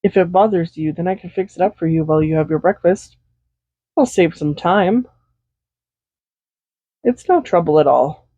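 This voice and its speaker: A woman playing a doting, possessive villain in an intimate roleplay audio, speaking softly and without hurry in a gently teasing manner. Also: on the low side, dry recording. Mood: tender, caring. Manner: soft-spoken, unhurried, gently teasing. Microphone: Blue Yeti USB microphone, close. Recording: slightly dull home microphone recording.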